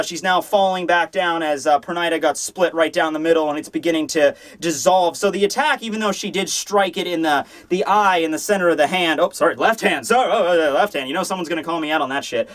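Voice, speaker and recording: High-voiced man, sarcastic, excitable reviewer. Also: a little fast. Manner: excitable, rambling, sarcastic asides with acted-out bits. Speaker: host of a manga review show. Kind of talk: casual, profane comedic review commentary.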